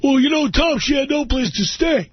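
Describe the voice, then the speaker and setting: A man's voice: very high-pitched, animated, moderate pace, dry recording, highs cut off, voice pushed up. A man voicing a whiny, spineless male caller who pleads and makes defensive excuses. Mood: pleading excuses.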